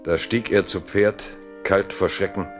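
Low male narrator for an old literary radio play, grave, deliberate, dramatic. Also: steady tone, faint music behind.

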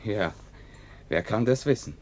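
Dryly ironic, courteous radio presenter. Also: male voice, lowish voice, expressive, a little slow. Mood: probing, ironic. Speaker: narrator and interviewer within a radio play.

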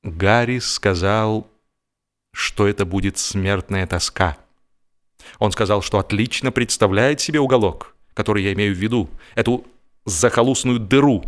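A man narrating a humorous literary audiobook with expressive, theatrical phrasing and mock seriousness. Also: fairly low pitch.

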